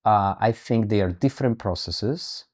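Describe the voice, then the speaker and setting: A middle-aged man speaking as a guest in an interview, measured and deliberate, often repeating words as he works through a thought. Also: lowish voice, darkish tone. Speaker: podcast guest, human rights activist. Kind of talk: interview conversation.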